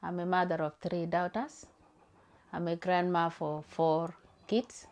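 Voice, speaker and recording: An older woman, a grandmother from Sudan, speaking slowly and hesitantly. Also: on the low side, level tone, a little mellow, a little hiss or hum. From South Sudan.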